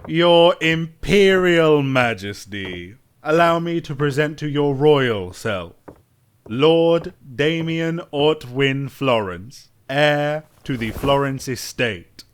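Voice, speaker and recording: A fawning nervous lord. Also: male voice, on the high side, lively intonation, takes it slowly, smooth. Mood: obsequious. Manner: obsequious, stammering. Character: fawning noble father, nervous flatterer.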